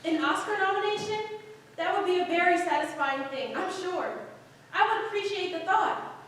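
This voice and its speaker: A woman's voice: extremely high pitch, moderate pace, a bit bright. A woman playing a gracious, modest actress in a staged reading. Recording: echoey hall from far off, faint noise.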